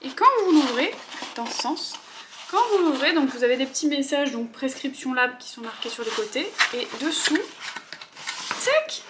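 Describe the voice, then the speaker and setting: A young woman chatting casually as she unboxes beauty products at home, with quick asides and murmured afterthoughts. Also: high-pitched, lively intonation, heavy background noise. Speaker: beauty vlogger.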